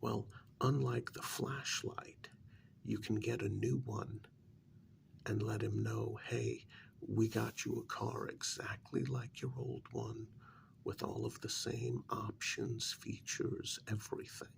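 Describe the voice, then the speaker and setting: A man playing a blunt, exasperated confidant to a vampire in a soft-spoken ASMR role-play, breathy and half whispered, with a measured, admonishing manner. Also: on the low side, little pitch movement, slight background noise, exaggerated voice. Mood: exasperated, insistent. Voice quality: gravelly, breathy, near-whisper.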